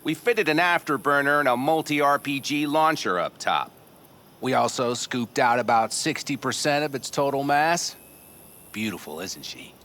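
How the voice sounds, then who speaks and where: A man's voice: mid-range voice, expressive, moderate pace, sharp tone. A man playing a proud, eager nomad mechanic in a video game, with a showy, folksy manner.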